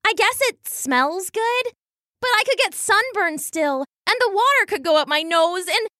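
A woman's voice: very high voice, animated, a little slow, pushed and bright, no room sound, studio quality, pitched above the performer's own voice, heavily acted. A woman voicing an anxious, stubborn young female weasel in a children's story, dramatic and protesting. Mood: grudging.

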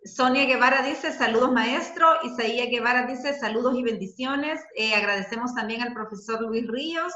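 Woman host reading greetings; bright, slightly high voice, roomy dull recording. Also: normal speed, few pauses. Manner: reads greetings aloud. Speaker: radio show host. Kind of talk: hosting, reading listener greetings.

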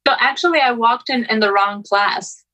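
A woman's voice: fairly high pitch, moderate pace, pushed and bright, poor audio. A young woman from Albania chatting casually as a podcast guest, telling her own story in a conversational way. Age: young adult.

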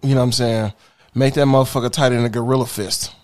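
A man's voice: mid-range voice, fairly even intonation, quick pace. Brash, profane podcast host man. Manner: brash, profane, laughing, repeats "you know what I'm saying". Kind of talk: casual crude podcast banter.

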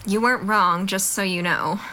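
A woman playing a composed, supportive young friend who talks candidly in a measured way, in an audio drama. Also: medium pitch, fairly even intonation, pushed and bright, slight background noise, natural voice, poor audio. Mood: frank, reassuring.

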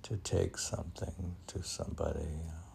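Older man, deep gravelly slow voice. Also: level tone, mellow, slight background noise. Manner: halting, with frequent restarts and fillers. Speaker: poet reading his own work. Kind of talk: poetry reading mixed with casual chat.

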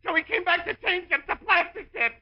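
High-strung, frantic salesman on old radio. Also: fairly quick. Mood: mounting hysteria. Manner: agitated, building into hysterical shouting. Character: frantic, nerve-shattered department store salesman, a psychiatric patient. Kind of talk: 1950s radio comedy acting. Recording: dull lo-fi old radio broadcast.

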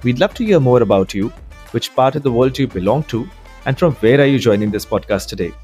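Man, podcast host, effusive and enthusiastic, slightly husky voice, faint music behind. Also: medium pitch, animated, fast talker, darkish tone. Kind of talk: interview hosting.

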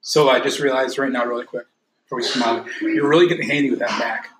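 A man's voice: medium pitch, fast. A middle-aged man hosting a casual interview, asking rambling questions with fillers. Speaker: host. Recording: echoey room, faint noise.

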